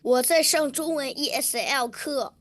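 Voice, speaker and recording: A woman's voice: high-pitched, a little slow, fairly bright tone, no room sound. Woman reading a short prepared statement. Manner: short recited sentences. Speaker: student public speaker.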